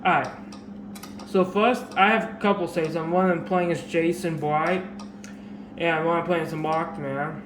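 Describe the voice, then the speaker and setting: A man with a slightly raised pitch and a dry, sore throat that roughens his voice a little talks through a game tutorial. He wanders and restarts, filling gaps with ums and alrights. The recording is echoey, with steady background noise from the camera mic. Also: measured pace. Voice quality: slightly raspy. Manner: rambling, many fillers and restarts. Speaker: gaming video creator. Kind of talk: casual game tutorial commentary.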